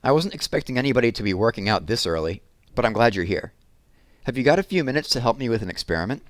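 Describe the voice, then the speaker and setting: A man's voice: mid-range voice, lively intonation, fast, dry recording, studio quality, lightly acted. A man voicing an earnest, eager young gym instructor in a children's story, speaking emphatically. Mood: friendly, brisk.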